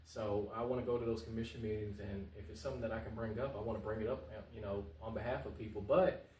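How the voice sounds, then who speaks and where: A man's voice: on the low side, steady tone, quick pace, dark tone. A man giving casual, conversational interview answers as a political candidate, colloquial and emphatic, repeating his points for stress. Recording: echoey room, distant mic, faint noise.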